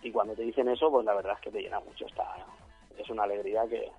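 A husky, slightly hoarse man talks loosely as an interview guest. He rambles and puts on other people's voices in quotes. Faint music runs under the radio studio sound. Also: lowish voice, steady tone, fast talker, lo-fi sound. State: hoarse after a weekend of shows. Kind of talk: casual interview chat.

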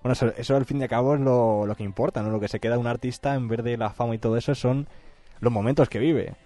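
Mellow-voiced radio host. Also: male voice, medium pitch, fairly even intonation, quick pace, soft background music. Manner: short agreeing backchannels. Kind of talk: casual interview chat.

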